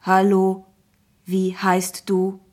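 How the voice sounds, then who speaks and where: Woman voicing a slow, friendly AI app companion. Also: mid-range voice, monotone, a little mellow. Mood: friendly, inviting. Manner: slow, measured, deliberate delivery. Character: friendly, smooth-talking AI app voice, inviting and coaxing. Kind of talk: children's audiobook character voice.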